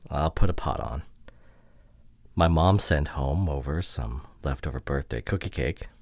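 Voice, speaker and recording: A man playing a hurt, introverted, awkwardly apologetic boyfriend in an ASMR roleplay audio drama, speaking haltingly and close to the microphone. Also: extremely low pitch, animated, dry recording, exaggerated voice. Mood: gently hospitable. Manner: hesitant, halting, sighing delivery with soft drops. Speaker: voice actor. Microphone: close microphone, dry.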